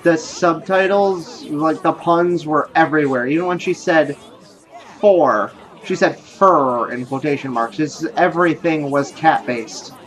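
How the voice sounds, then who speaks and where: A man's voice: on the high side, a little slow, some chatter behind, rough recording. A man hosting a gaming video, thinking aloud in casual commentary as he plays. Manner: thinks aloud while playing. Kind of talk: casual let's play commentary.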